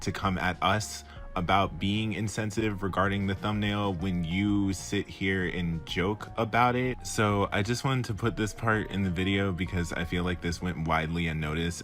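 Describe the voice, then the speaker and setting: A man's voice: low voice, fairly even intonation, normal speed, fairly bright tone, faint music behind. A man giving scripted response commentary in a critical, explanatory manner. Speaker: video commentator and editor.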